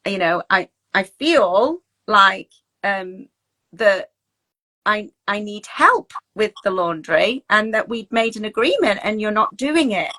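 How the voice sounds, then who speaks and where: A woman's voice: medium pitch, pushed and bright. A woman coach talking through advice in a chatty, conversational, reassuring way, acting out example phrases as she goes. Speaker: teacher well-being coach and host. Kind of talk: informal advice talk, conversational.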